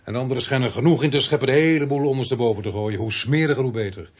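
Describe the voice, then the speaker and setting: Police inspector, radio drama: male actor, husky, fast, businesslike, fairly low-voiced. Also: no echo, close to an everyday voice. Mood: matter-of-fact. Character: brisk, matter-of-fact male police inspector telling his case. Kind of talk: radio drama dialogue and narration.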